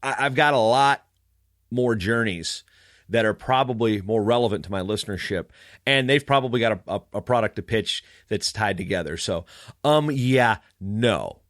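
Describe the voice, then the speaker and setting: A man's voice: fairly low pitch, normal speed, fairly bright tone, dry recording, studio quality. A man podcaster telling a story in a casual, snarky, emphatic rant. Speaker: wrestling podcaster.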